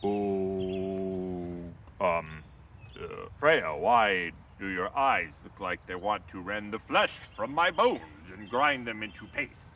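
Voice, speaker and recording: Man voicing a slow, suspicious giant king in a told myth; low and gravelly, unhurried. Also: expressive, bright, forceful tone, recorded from a distance, a little hiss or hum, exaggerated voice. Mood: puzzled.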